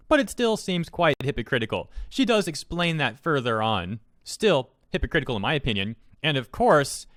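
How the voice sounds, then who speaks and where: A man with a mid-pitched voice delivers opinionated commentary as an online video host. His manner is sarcastic and mocking, leaning on rhetorical questions. The sound is dry, with no room echo. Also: fairly bright tone. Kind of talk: opinionated online commentary, reading out tweets and reacting.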